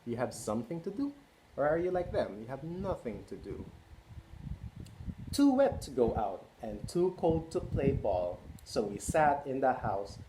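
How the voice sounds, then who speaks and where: A man in his twenties reading a storybook aloud to children, expressive, with chatty questions to his listeners. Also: mid-range voice, unhurried, a little hiss or hum, low-quality recording. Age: 20s. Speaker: children's read-aloud host and early childhood educator.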